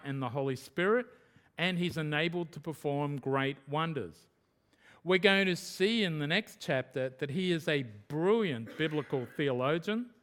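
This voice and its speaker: A man with an Australian accent preaching an expository sermon as pastor, earnest and emphatic, repeating his key points slowly for the congregation. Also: fairly high pitch, a bit bright, clear, resonant tone.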